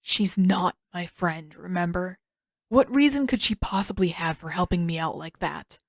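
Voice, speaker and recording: A woman's voice: on the low side, lively intonation, normal speed, no echo, clean studio sound. A woman voicing a tired, sullen teenage prince in an audiobook story, terse, defensive and sarcastic. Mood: irritated.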